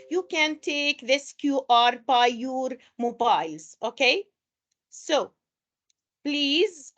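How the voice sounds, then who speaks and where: A woman's voice: high voice, fairly bright tone, lo-fi sound. A woman from Palestine presenting an online teacher-training webinar, patiently greeting participants and repeating instructions.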